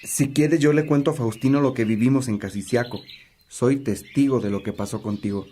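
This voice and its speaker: A man's voice: mid-range voice, brisk, mellow, a little hiss or hum, lightly acted. A man playing a loyal, brotherly monk with a familiar, helpful manner in a radio drama. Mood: friendly, helpful. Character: Alipio, Augustine's loyal, brotherly companion monk. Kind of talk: acted radio drama dialogue.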